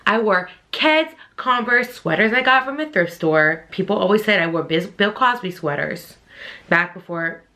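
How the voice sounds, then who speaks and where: Bright-voiced chatty Texan woman. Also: medium pitch, animated. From Texas. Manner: chatty, quick, addresses viewers as y'all. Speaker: book vlogger. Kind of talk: casual chat to camera.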